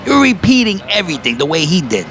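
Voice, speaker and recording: An actor playing a blunt, wisecracking New construction worker in an audio play, with a sardonic, needling delivery. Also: male voice, high-pitched, huge pitch swings, fast talker, exaggerated voice. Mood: wry, needling. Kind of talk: naturalistic audio play dialogue. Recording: noisy city street with traffic. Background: urban street ambience, vehicles.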